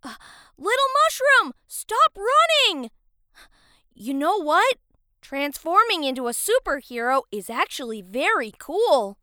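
Woman as a high, swooping, spirited cartoon vegetable. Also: big pitch swings, measured pace, bright, forceful tone, no room sound, studio quality, full character voice. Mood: urgent. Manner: exclamatory, exaggerated. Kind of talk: children's audio story character acting.

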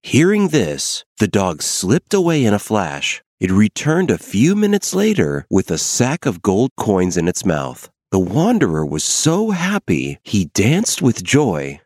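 A man narrating a children's fairy tale as an expressive, scripted storyteller. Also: fairly low pitch, unhurried, few pauses, no echo, clean studio sound.

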